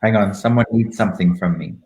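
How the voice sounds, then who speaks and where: A man's voice: on the low side, darkish tone. Theatrical man in an echoey room. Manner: theatrical, camp, deliberate phrasing. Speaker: host of a live online show. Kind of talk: casual live chat with dramatic book readings. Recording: roomy, echoing live-stream sound.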